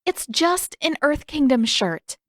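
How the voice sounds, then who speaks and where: A woman voicing an exasperated, sharp-tongued teenage girl in a storybook, snapping and sarcastic. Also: sits high, very animated, slow, dry recording, studio quality, pitched above the performer's own voice, full character voice. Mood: sarcastic, irritated.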